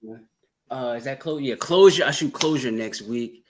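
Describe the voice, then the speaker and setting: Male actor guest, mid pitch, quick rambling talker. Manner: rambling, quick, laughs often. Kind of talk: casual interview chat.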